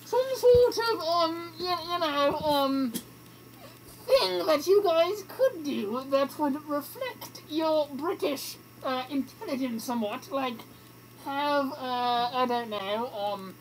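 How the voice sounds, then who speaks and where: Radio host doing a british guy caller, high voice, polite, keeps hesitating, slight noise. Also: lively intonation, full character voice. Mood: hesitant, polite. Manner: halting, tentative.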